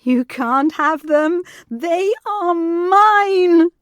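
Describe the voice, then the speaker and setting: A woman's voice: very high voice, animated, very slow, exaggerated voice. A woman playing an agitated, resolute young governess in a gothic audio drama, speaking with intense, formal period diction. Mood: anguished fury.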